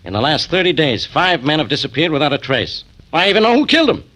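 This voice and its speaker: Curt, forceful, irritable police inspector. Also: male voice, fairly high pitch, sing-song, fast, exaggerated voice, poor audio. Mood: exasperated. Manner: curt, grumbling. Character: gruff, irritable, harried male police inspector. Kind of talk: 1940s radio detective acting. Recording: vintage 1940s radio recording.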